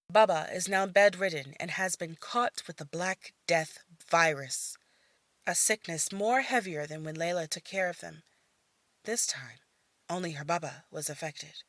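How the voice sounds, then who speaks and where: A woman narrating a fantasy audio story in a measured, soft-spoken manner. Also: fairly low pitch, dry recording. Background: faint music.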